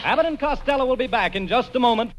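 A man works as an announcer in vintage radio style. He talks quickly in a very high voice, with loud music behind on an old recording. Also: animated.

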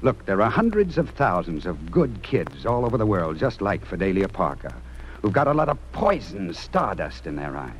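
A noisy old radio drama recording of a stern American music judge. His voice is low and swings widely in pitch as he speechifies earnestly, with remorse underneath. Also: sing-song, normal speed. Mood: stern lecturing. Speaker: actor.

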